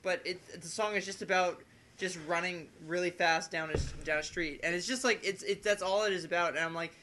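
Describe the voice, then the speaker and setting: A man in a casual chat among friends, rambling and arguing his point in an excitable way. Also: sits high, a little fast, slight background noise. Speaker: podcast guest.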